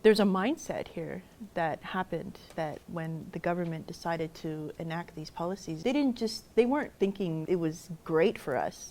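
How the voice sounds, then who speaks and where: Earnest woman, low-pitched. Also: darkish tone. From Canada. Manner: earnest, reflective, with frequent restarts. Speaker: activist. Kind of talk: documentary interview.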